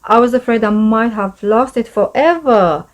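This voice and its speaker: A woman's voice: a little high, moderate pace, close to an everyday voice. A woman voicing a tiny, cheerful, grateful fairy from a children's storybook, sweet and earnest. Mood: happy, relieved.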